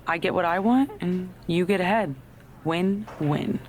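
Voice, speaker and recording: A woman's voice: on the low side, measured pace, darkish tone, a little hiss or hum, close to an everyday voice. An actress playing a scheming, self-serving female wrestler in a video game cutscene, talking in a casual, conspiratorial way. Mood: sly, persuasive.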